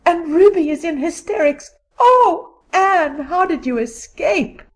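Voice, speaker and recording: Woman playing very high-voiced emotional young girl. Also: lively intonation, slow pace, heavily acted. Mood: frantic relief. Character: emotional, devoted young girl, dramatic and breathless. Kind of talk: audiobook dramatic reading.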